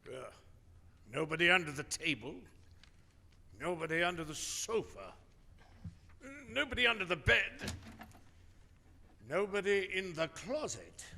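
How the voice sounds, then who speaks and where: A man's voice: high-pitched, expressive, a little slow, gravelly, long pauses, pushed and bright, a little hiss or hum, full character voice. A man in a radio play acting a bitter, miserly old businessman, curt, grumbling and contemptuous. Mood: uneasy. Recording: echoey hall stage recording.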